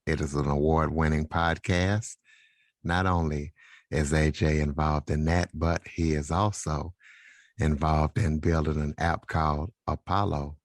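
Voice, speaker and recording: A man's voice: extremely low pitch, no room sound, studio quality. A man hosting an interview podcast, introducing guests and putting questions to them. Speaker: podcast host.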